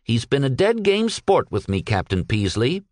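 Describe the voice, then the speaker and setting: Man voicing earnest, dogged errand man, lively pitch. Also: normal speed, dry recording, studio quality, close to an everyday voice. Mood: earnest pleading. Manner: pleading, polite, insistent. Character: dogged one-armed errand man, weary, earnest, respectful. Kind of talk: audiobook character dialogue.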